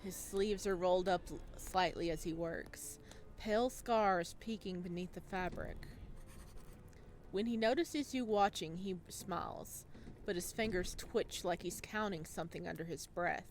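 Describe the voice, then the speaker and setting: Slow-paced woman reading a game aloud. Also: lowish voice, a little hiss or hum. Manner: chatty, reacting aloud to the story. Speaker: video game streamer. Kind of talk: playthrough commentary, reading visual novel aloud.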